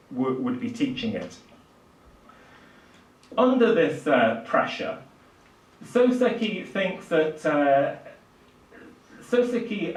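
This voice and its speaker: Older male public lecturer, slightly high pitch, stop-start emphatic delivery, distant mic. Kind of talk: public lecture on literature, speaking off the cuff. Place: small indoor lecture room at a lectern. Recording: echoey room, distant microphone.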